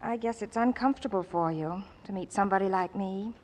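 An actress playing a gentle, patient and wistful young woman in an old radio drama, tactful and tentative in manner. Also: mid-range voice, brisk, close to an everyday voice. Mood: wistful, guarded. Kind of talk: 1940s radio drama acting. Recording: noisy old radio recording.

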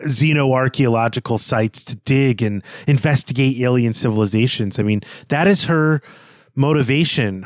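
A man co-hosting a podcast, talking through a story in an unscripted, halting way as he thinks aloud. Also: mid-range voice, no room sound, clean studio sound. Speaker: podcast co-host. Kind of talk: unscripted literary discussion.

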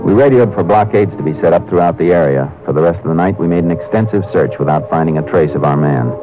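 A man plays a tough, laconic Texas Ranger from old-time radio. His American voice is very low and he talks quickly, in a plain, folksy, businesslike way, on a vintage broadcast with music. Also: muffled recording, close to an everyday voice. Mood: brisk narrating. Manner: terse, matter-of-fact, folksy. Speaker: actor playing the lead lawman and narrator. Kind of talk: 1950s radio drama acting and narration.

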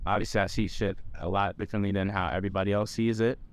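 A man's voice: fairly low pitch, steady tone, quick pace. A young man from Jamaica in a casual group chat, laid-back and slangy, often saying "bro". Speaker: member of a creative group.

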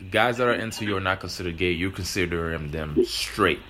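Low-voiced male host who interrupts often. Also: a little fast, pushed and bright. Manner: interrupts, says "hold on" a lot. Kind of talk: casual interview chat.

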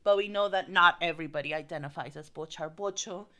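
Smooth, bright-voiced bilingual woman host. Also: lowish voice, quick pace. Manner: chatty, code-switching, lots of fillers like "like" and "so". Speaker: podcast co-host. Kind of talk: casual podcast chat.

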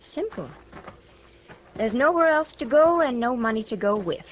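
A woman's voice: fairly high pitch, lively intonation, normal speed, close to an everyday voice. An American woman playing a proud, weary young widow in a radio western, guarded and full of wounded dignity. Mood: hurt, resigned. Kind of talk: 1950s radio western acting. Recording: muffled, slightly noisy old radio broadcast.

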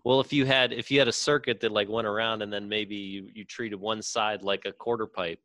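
A man's voice: lowish voice, fairly even intonation, a little fast, fairly bright tone, no room sound. A man chatting casually with friends as a podcast co-host, in an informal, sweary way. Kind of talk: casual chat among friends.

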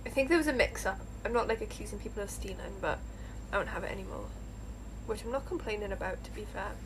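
A young woman with a slight lisp, co-hosting a casual podcast chat while getting ready to go out, chatty and quick to laugh. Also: mid-range voice, background noise. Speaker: podcast co-host.

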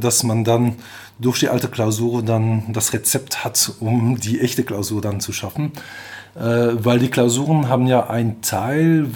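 A man's voice: mid-range voice, darkish tone. A middle-aged man from Belgium with a French accent, a professor answering interview questions, explaining step by step with frequent hesitations. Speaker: professor of applied mechanics.

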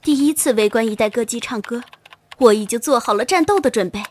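A woman's voice: on the high side, a little fast, noisy background, close to an everyday voice. A woman playing a teasing young chatroom listener in an online radio drama. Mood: mock brave.

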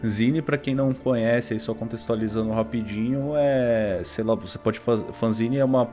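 A man's voice: medium pitch, fairly even intonation, moderate pace, mellow, faint music behind. A Brazilian man hosting a podcast, chatting casually in informal, slangy speech. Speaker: podcast host. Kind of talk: casual chat.

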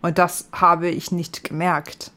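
A man's voice: fairly high pitch, level tone, takes it slowly, pushed and bright. A man from northern Germany with a northern German accent, hosting a podcast in casual chat, hesitant with many fillers and frequent laughs. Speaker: podcast host.

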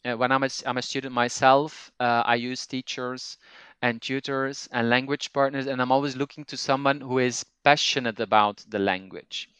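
A man with a Flemish accent, a native Dutch speaker, speaking English as a language teacher and podcaster who explains things and thinks aloud with pauses. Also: mid-range voice, fairly even intonation, a bit bright, smooth, no room sound. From Belgium. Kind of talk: conversational interview.

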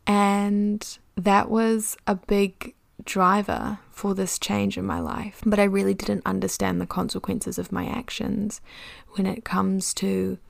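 Podcast host: young woman, New Zealand accent, very creaky mid-pitched voice, casual, self-deprecating and chatty. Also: level tone, takes it slowly, dry recording. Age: young adult. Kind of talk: casual confessional podcast monologue.

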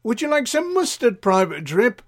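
A man's voice: extremely high pitch, sing-song, moderate pace, pitched above the performer's own voice, full character voice. A man voicing a fussy, sweetly insistent canteen waitress with lilting, coaxing questions in a comic story.

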